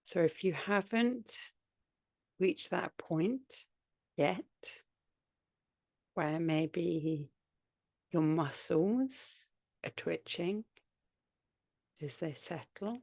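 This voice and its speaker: A woman guiding a yoga nidra relaxation, speaking soothingly in short, unhurried phrases with long pauses between them. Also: lowish voice, animated, vocal fry, darkish tone, dry recording. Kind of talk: guided meditation, spoken relaxation practice.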